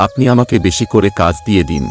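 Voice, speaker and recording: A man's voice: on the low side, expressive, quick pace, music in the background, lightly acted. A man playing a humble, hardworking poor father in a cartoon story, speaking earnestly and reassuringly. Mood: earnest pleading. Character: hardworking, self-sacrificing poor labourer father, devoted and humble. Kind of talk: Bengali cartoon story voice acting.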